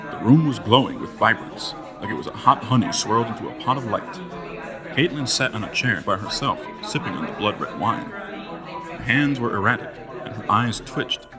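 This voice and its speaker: Low-voiced man narrating a gothic drama. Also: lively intonation, normal speed, music in the background. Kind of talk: scripted storybook narration of a gothic audio drama.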